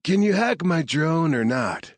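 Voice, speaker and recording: A man's voice: a little high, big pitch swings, measured pace, no room sound, voice pushed up. A man voicing a frustrated young male tech specialist, raised above his own narrating voice.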